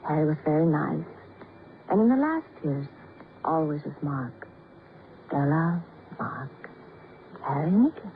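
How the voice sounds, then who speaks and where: A woman's voice: lowish voice, a little hiss or hum. Heartbroken woman, tinny old radio. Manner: halting, dramatic, clipped poetic phrasing. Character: hurt, love-sick woman, wistful and fragile. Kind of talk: 1940s-50s radio drama acting.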